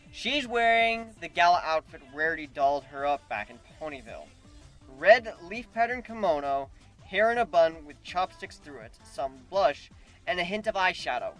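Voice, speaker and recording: Animated high-pitched man reading a story. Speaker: narrator of a fan fiction reading. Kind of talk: dramatic story reading, first person.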